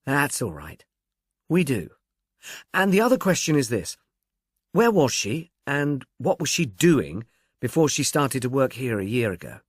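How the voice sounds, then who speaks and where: A businesslike male police inspector, voiced by a male narrator, putting questions in a probing, official way. The delivery is dispassionate in wording yet varied in pitch, recorded dry as audiobook dialogue. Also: big pitch swings, a little slow, husky, no echo.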